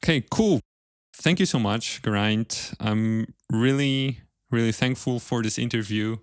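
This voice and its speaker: A man hosting an interview podcast, speaking in a polite, measured way with formal phrasing. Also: medium pitch, dry recording, clean studio sound. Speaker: podcast host. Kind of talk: interview, then podcast sign-off.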